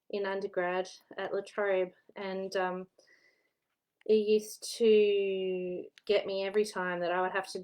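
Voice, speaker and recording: Aussie woman in her 30s rambling cheerfully at home, laughs at herself a lot, voice a bit worn. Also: mid-range voice. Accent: Australian. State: slightly losing her voice. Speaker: historian giving study tips. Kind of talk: casual chatty vlog advice.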